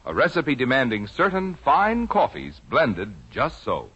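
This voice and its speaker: A man's voice: mid-range voice, huge pitch swings, measured pace, pushed and bright, breathy edge. An American man reading a scripted, persuasive 1950s radio commercial as an announcer. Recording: muffled old radio recording.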